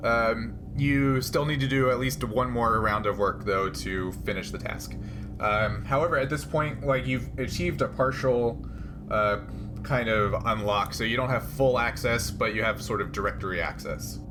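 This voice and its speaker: A man acting as game master in a tabletop role-playing session, speaking casually and haltingly as he thinks aloud. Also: mid-range voice, music in the background.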